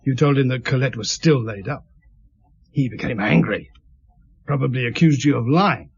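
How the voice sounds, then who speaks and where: Husky, stern police inspector. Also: male voice, medium pitch, normal speed, highs cut off. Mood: methodical, accusing. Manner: pressing, methodical, sardonic interrogator. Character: stern, shrewd French police inspector, relentless and wry. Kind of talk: radio drama dialogue.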